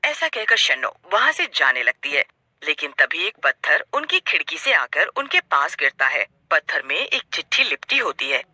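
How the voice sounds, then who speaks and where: A woman's voice: low voice, very animated, normal speed, sharp tone, dry recording. A woman reading scripted narration for a children's story.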